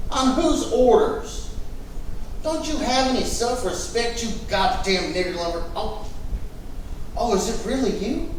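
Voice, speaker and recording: High-voiced racist American jailer, echoey hall. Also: male voice, a little hiss or hum, exaggerated voice. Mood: irritated suspicion, then fawning awe. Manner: folksy, taunting, drawling vernacular. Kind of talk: staged musical theatre reading. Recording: echoey hall, distant mic, faint music.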